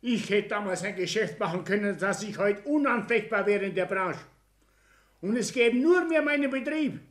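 A man's voice: high voice, animated, normal speed, a bit bright. A man acting a proud, aggrieved Bavarian business owner in a 1960s German radio play, self-righteous and blustering. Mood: indignant, self-righteous.

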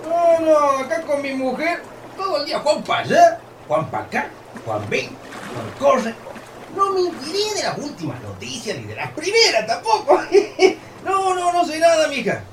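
A man's voice: extremely high pitch, wildly swooping pitch, normal speed, exaggerated voice. Boisterous old criollo countryman, swooping wildly. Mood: jovial, folksy. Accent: rural Argentine. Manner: folksy, exclamatory, prone to cackling. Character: rustic old criollo husband, boisterous, mocking, folksy. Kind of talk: radio drama acting. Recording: distant, echoey, noisy radio play recording.

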